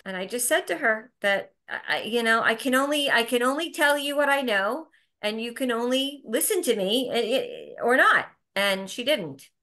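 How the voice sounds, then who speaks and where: A woman's voice: medium pitch, bright, forceful tone. A woman speaking as an interview guest in casual conversation, emphatic, often restarting and repeating words.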